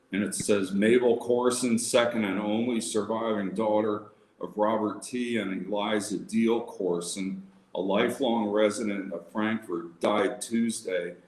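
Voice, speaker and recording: A man presenting an informal livestream lecture as a society president, talking conversationally and haltingly. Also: on the low side, darkish tone. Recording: roomy, echoing livestream audio.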